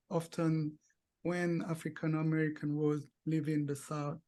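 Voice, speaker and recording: A man acts as the interviewer in a conversational exchange. His voice sits at a middling pitch. He puts his questions in halting, deliberate phrases. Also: dark tone, poor audio.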